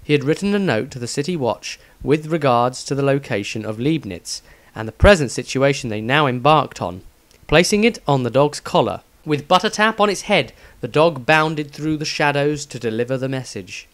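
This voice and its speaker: A man's voice: mid-range voice, lively intonation, moderate pace, fairly bright tone. A man reading a scripted fantasy adventure story as a dramatic narrator.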